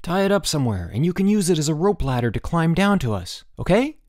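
A bold storybook schoolboy, acted by a man, raised a little in pitch and very animated. He takes charge with an urgent, commanding manner, recorded dry. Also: normal speed, dry recording. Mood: reassuring, directing.

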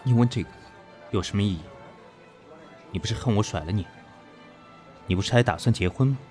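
A deep-voiced, proud businessman played by a male actor keeps himself cool and guarded in an audio drama. His delivery is restrained and businesslike, with clear music playing behind him. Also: fairly quick, a little mellow, lightly acted. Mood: cool, evasive. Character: guarded, proud businessman facing a former lover. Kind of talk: Chinese audio drama acting.

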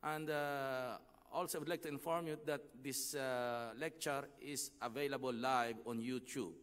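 A middle-aged man hosting a lecture, introducing the speaker in a measured way with many pauses, speaking through a desk microphone on an outdoor stage. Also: medium pitch, steady tone, fairly bright tone, clean tone. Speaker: host. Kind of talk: formal introduction. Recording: long reverb of a large open venue.

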